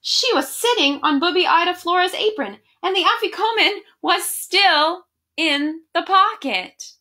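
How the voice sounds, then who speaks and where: A woman's voice: extremely high pitch, lively intonation, a little slow, bright, forceful tone. A young adult woman reading a picture book aloud expressively and chatting casually to children from a small room at home. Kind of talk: animated read-aloud and casual address to children.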